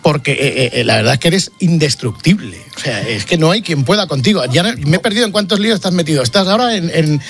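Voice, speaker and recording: A man's voice: fairly high pitch, lively intonation, fast talker, few pauses, a bit bright, soft background music. A man hosting a radio show, asking questions in a chatty way with stumbling restarts. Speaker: radio host. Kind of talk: radio interview chat.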